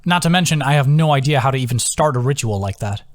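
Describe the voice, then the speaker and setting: A man's voice: mid-range voice, lively intonation, fast, no echo, clean studio sound, natural voice. A man voicing a thoughtful, frank young woman adventurer with a wry, questioning manner in an audiobook.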